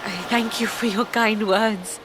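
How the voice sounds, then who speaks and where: A woman's voice: a little high, steady tone, measured pace, background noise, close to an everyday voice. An actress playing a determined, composed woman researcher in a fantasy video game, speaking formally and precisely. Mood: composed, resolute. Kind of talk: video game cutscene acting. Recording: voice over game music.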